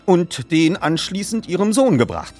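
A man's voice: high-pitched, very animated, normal speed, darkish tone, soft background music, voice pushed up. A man voicing a polite, self-assured teenage boy detective with formal, precise speech in an audiobook. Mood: formal, confident.

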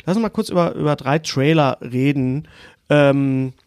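A man's voice: medium pitch, moderate pace, darkish tone, dry recording, studio quality. A man moderating a casual film chat as podcast host, speaking in a living room.

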